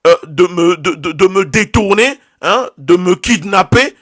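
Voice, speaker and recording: Impassioned man, high voice. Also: expressive, a little slow, a bit bright, no echo, studio quality. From Togo. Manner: impassioned, repeats words when searching. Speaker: activist. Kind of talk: political monologue.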